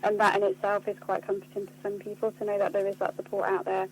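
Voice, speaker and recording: A woman's voice: mid-range voice, monotone, fast, husky, low-quality recording. A woman being interviewed on the radio, explaining things in an articulate, earnest way. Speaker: charity communications officer being interviewed. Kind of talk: spoken radio interview answers.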